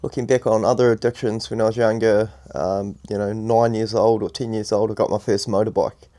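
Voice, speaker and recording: A young man co-hosting a podcast, talking calmly and thoughtfully, with lots of fillers. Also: on the low side, fairly quick. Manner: thoughtful, calm, many fillers. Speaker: podcast co-host. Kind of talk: casual chat.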